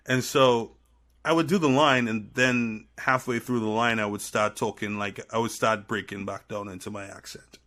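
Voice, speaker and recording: A man with a Trinidadian accent tinged with American, telling stories as a podcast guest in an animated, rambling way. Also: on the low side, normal speed. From Trinidad and Tobago. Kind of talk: casual chat.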